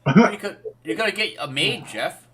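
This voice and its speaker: Bright, chatty live-stream host. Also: male voice, sits high, lively intonation, moderate pace, slight background noise. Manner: chatty, laughs often, thanks donors. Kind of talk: casual live-stream chat.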